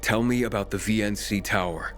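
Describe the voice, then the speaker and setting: Game role, male actor: determined young man, confident and plain-spoken, slightly deep, with music behind. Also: a little fast, a bit bright, close to an everyday voice. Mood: businesslike. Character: determined, cocky young male survivor hero. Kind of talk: video game voice acting.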